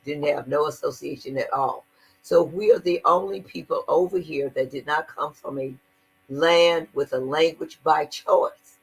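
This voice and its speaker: A woman's voice: low-pitched, measured pace, creaky, breathy edge, rough recording. An older woman speaking earnestly in a conversational interview, stressing her points and often repeating words. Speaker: guest activist.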